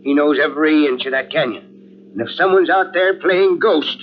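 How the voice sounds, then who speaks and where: A stubborn, defiant old man who refuses to be coddled, as an actor plays him. His voice sits a bit high for a man, and it comes through a dull, lo-fi old radio recording. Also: normal speed, slight background noise, natural voice. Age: older. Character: stubborn older uncle, bedridden rancher. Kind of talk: 1940s radio acting.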